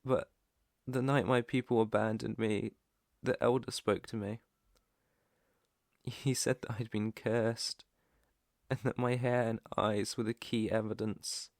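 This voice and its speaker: A British man playing an earnest, protective alpha werewolf in an ASMR roleplay, with a hesitant, stammering, soft-hearted way of talking and a creaky voice. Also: medium pitch, little pitch movement, measured pace, no echo, clean studio sound. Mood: solemn. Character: protective young male alpha werewolf, earnest, shy outcast.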